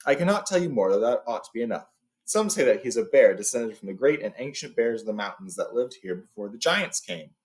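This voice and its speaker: A man's voice: mid-range voice, sing-song, fast talker, lightly acted. A man voicing a courteous, authoritative old wizard in a storybook, speaking formally and with emphasis. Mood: cautioning. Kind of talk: storybook read-aloud character.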